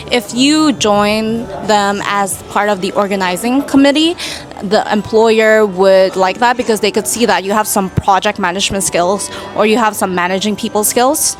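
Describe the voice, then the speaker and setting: A young woman in her twenties from Indonesia, chatting casually in a Q&A vlog, full of "like"s and asides. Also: medium pitch. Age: 20s. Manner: chatty, many "like"s, quick asides. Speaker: vlogger. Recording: music playing in the background.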